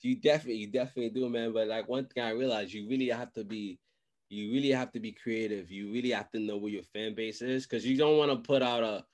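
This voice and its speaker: A young man talks casually and animatedly, handing out advice. He uses plenty of slang and circles back to repeat his phrases. His voice sits at a middle pitch. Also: fairly even intonation, fast talker, a little mellow. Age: young adult. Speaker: podcast host and music industry adviser. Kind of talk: casual chat, giving advice. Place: small indoor room, video call. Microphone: desk microphone, close.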